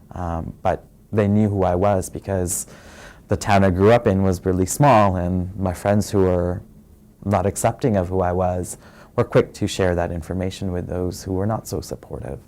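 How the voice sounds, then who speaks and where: A man from Fiji telling his own story in a soft, reflective way, pausing often with fillers. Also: deep voice. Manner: soft-spoken and reflective, many pauses and fillers. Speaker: interviewee sharing his story. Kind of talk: personal testimony.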